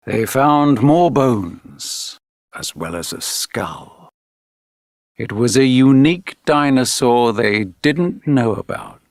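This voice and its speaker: A man reading a children's read-along story as a narrator, deliberate and expressive. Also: medium pitch, no room sound, studio quality. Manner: deliberate, clearly phrased, expressive reading for learners. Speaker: textbook audio narrator.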